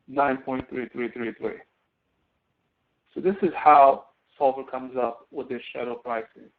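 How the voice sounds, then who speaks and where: A man instructing in a screen-recorded tutorial, explaining step by step and thinking aloud as he works. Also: a little high. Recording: tinny phone-like narrow-band audio.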